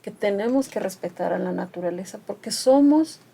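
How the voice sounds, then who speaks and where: An older woman from the Mixe region speaks as a radio guest. Her delivery is animated, pressing harder when she makes a point. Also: medium pitch, normal speed, gravelly, slightly rough. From Mixe region of Oaxaca, Mexico. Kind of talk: conversational radio talk.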